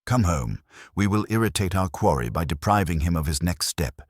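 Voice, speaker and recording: A man voicing an imperious detective boss in an audiobook, with formal, measured, pompous diction. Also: low voice, expressive, dry recording, clean studio sound. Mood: stern, resolute.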